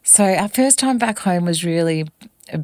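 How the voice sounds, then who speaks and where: Low-voiced West Papuan woman, reflective. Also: normal speed, slight background noise. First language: a West Papuan language. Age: 40s. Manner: earnest, reflective, animated on family memories. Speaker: singer-songwriter guest. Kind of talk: personal storytelling in an interview.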